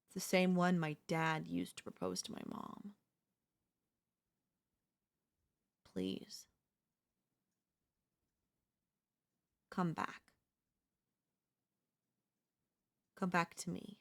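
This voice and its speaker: A woman's voice: lowish voice, fairly even intonation, moderate pace, creaky. A young woman playing an obsessive, lovesick yandere neighbor in an intimate, intense audio roleplay. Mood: wounded, pleading. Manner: intimate, pleading, emotionally intense delivery. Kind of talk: ASMR audio roleplay acting.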